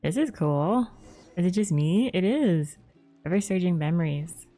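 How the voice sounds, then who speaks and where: A woman's voice: lowish voice, lively intonation, a little slow, dark tone. A young woman giving casual live commentary as a game streamer, musing in an informal, rambling way. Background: faint game music.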